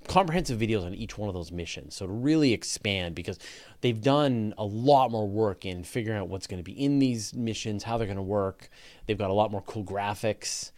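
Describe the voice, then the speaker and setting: A man's voice: lowish voice, a little mellow. A man with a Canadian accent hosting a livestream question show, explaining ideas step by step in a conversational way. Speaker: host of a space podcast. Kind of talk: livestream Q&A, explaining astronomy to viewers.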